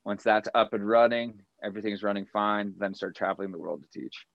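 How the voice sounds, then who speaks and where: A man's voice: lowish voice, steady tone, a little fast, gravelly, no room sound, lo-fi sound. An American man of thirty chatting casually and candidly in a laid-back way, as a guest on a podcast. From Colorado, United States. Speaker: yoga teacher, podcast guest. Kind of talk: casual conversation.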